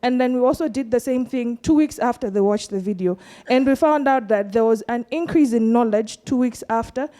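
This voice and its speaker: A woman with a Malawian accent presents her research at a conference. Her voice is fairly high yet mellow and warm. She explains things earnestly and pauses often on "um". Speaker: medical researcher presenting a study.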